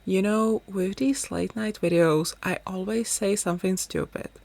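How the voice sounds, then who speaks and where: A woman's voice: mid-range voice, fairly even intonation, normal speed, creaky, a little mellow, no room sound. A young woman whose first language is Czech, chatting in a rambling, self-deprecating way as she talks over her gaming video. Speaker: gaming YouTuber. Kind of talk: casual voice-over commentary.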